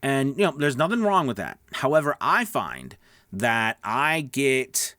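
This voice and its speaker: A man's voice: medium pitch, sing-song, measured pace, bright, forceful tone, dry recording, clean studio sound. A middle-aged man giving casual instructional talk as a voice-over coach, emphatic and conversational, often checking in with listeners. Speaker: voice-over coach and online host.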